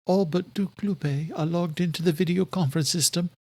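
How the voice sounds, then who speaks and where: A man voicing an anxious, high-pitched female servant. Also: fairly even intonation, normal speed, a little mellow, no echo. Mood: grave, reporting. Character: anxious, deferential female vampire servant bearing bad news.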